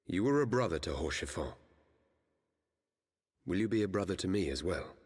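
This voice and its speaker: Solemn young British nobleman, low voice. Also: animated, moderate pace, husky. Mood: solemn, hopeful. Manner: formal, archaic, courtly speech. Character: earnest young noble knight, burdened heir to a count. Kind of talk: video game cutscene acting. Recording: long hall reverb.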